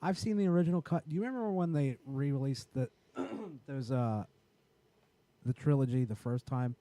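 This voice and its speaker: A man with a raspy voice in casual, profane podcast chat, rambling and often restarting his sentences. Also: medium pitch, normal speed, warm tone. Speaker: podcast co-host.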